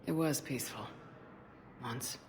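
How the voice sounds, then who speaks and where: A man playing a resolute, earnest military commander in a science fiction video game, speaking in a firm, measured way. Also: mid-range voice, a little hiss or hum, lightly acted. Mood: calm, serious.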